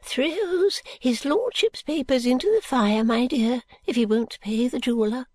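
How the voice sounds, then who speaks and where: A woman's voice: high-pitched, a little mellow, no room sound, voice pushed up. Woman doing Miss Flite, a whispery eccentric old lady. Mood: confiding. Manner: breathy whispering, slow and confiding. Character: eccentric fluttery elderly lady, confiding and mysterious.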